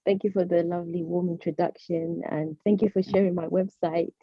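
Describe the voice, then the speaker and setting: Woman, low voice, dull dry audio. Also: steady tone, brisk, no room sound. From Zimbabwe. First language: Shona. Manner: friendly, hesitant, with many fillers. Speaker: personal trainer. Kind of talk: guest self-introduction.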